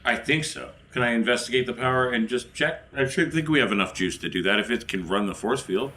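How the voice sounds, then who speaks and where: A man's voice: mid-range voice, quick pace, sharp tone. A man playing a tabletop role-playing game, improvising casually in character.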